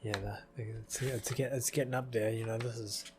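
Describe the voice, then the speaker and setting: A man's voice: medium pitch, steady tone, normal speed, noisy background. A middle-aged man giving casual, self-deprecating video commentary, his mouth partly numb. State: mouth partly numb after dental work. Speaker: video creator. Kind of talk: casual rambling commentary over a film edit. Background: background music.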